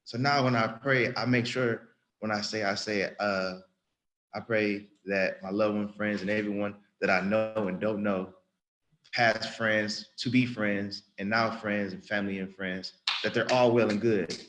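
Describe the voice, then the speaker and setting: A man's voice: fairly low pitch, steady tone, measured pace, a bit bright. A man around thirty giving an earnest, rambling spoken testimony in church, often restarting and repeating himself. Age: about 30.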